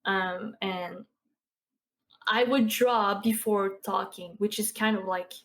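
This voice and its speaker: A woman in her twenties with a Québécois French accent, a guest on a podcast, chatting casually with many fillers and soft laughs. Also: mid-range voice, steady tone, takes it slowly. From Quebec. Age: 20s. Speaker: podcast guest, streamer and artist. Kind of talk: casual interview chat.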